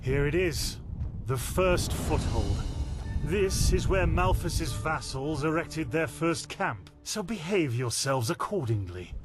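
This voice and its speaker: Fantasy game mentor, blunt weary master, slightly high male voice, slow delivery, dry authority, music behind. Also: sing-song. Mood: dry, sarcastic. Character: weary, blunt master of a holy order, world-wise. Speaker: mentor leading novices to a trial.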